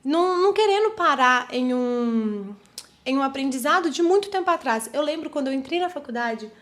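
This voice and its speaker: Chatty young Brazilian woman, high voice. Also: fairly quick. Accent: Brazilian Portuguese. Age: 20s. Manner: chatty, animated, many fillers and restarts. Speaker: podcast host. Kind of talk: reflective solo podcast talk.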